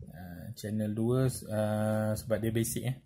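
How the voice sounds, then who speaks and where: A man with a Malaysian accent giving an informal hands-on tutorial, explaining step by step in a halting way with frequent check-in tags. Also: fairly low pitch, warm tone. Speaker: presenter of a tutorial. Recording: clearly noisy amateur recording with clicks.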